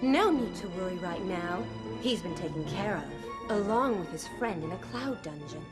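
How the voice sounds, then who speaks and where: A woman voicing a calm, authoritative cloud scientist creature in a cartoon. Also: lowish voice, moderate pace, loud music behind the voice, natural voice. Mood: reassuring. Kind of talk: cartoon voice acting.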